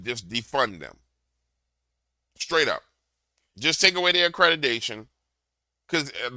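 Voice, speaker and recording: A man with a booming, very bright and forceful voice delivers a loud, slangy rant, repeating himself for emphasis. His pitch sits in an ordinary middle range, and the recording is close and dry. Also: no echo. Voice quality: big booming voice. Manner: loud, slangy, repetitive, heated. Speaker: commentator reacting to a clip. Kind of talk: ranting reaction commentary. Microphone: desk microphone.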